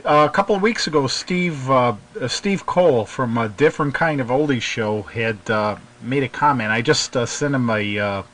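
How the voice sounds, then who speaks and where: A man hosting a radio show in casual chat, speaking haltingly and often circling back over his phrases. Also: medium pitch, fairly bright tone. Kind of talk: casual radio chat about shortwave hobby.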